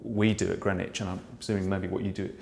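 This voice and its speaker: A man's voice: deep voice, little pitch movement, fast talker, creaky, darkish tone. A British man, a pantomime writer and director, talking casually and thoughtfully in an interview, often restarting his sentences. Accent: British English. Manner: thoughtful, many small restarts. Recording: roomy echo.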